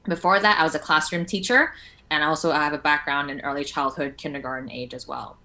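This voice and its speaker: A woman's voice: sits low, fast talker, bright, forceful tone. A woman from Hong Kong, a learning support teacher, answering interview questions in a friendly, conversational way.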